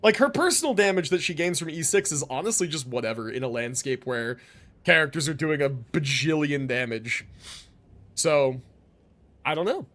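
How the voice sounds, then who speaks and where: High-pitched, animated man livestreaming a game. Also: normal speed. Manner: thinking aloud, slangy, swearing. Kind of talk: casual live gameplay commentary. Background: game music.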